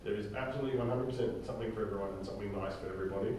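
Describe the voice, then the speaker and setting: A man with a fairly low voice leads an informal briefing for a group of actors. He speaks haltingly and checks in often. He is picked up from far off in a very reverberant room with faint noise behind him. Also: darkish tone. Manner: halting, often tags sentences with "okay?". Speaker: director and writer leading a drama table read. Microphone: distant room microphone. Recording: very echoey room, distant mic, faint noise.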